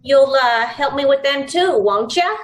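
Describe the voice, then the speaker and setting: Woman as female radio schemer, flirtatious, gushing, coaxing, very lively pitch, unhurried. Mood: coaxing, sweet. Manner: cooing, drawn-out, sugary. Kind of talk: old-time radio drama acting.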